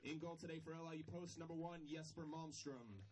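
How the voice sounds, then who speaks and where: A man making formal, measured stadium announcements, reading out names and numbers over a public address system. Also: a little high. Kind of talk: formal scripted lineup announcement. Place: outdoor soccer stadium, over loudspeakers. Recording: distant stadium PA pickup.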